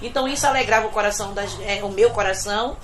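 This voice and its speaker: A middle-aged woman from Bahia, a domestic worker, giving an earnest, reflective personal testimony that often trails off quietly. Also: medium pitch, racing, a little hiss or hum. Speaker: domestic worker telling her story.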